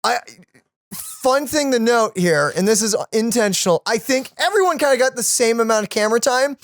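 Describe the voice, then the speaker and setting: Excitable, animated young reaction host. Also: medium pitch, moderate pace, no room sound, studio quality. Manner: excitable, gamer slang. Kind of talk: excited reaction commentary, casual chat.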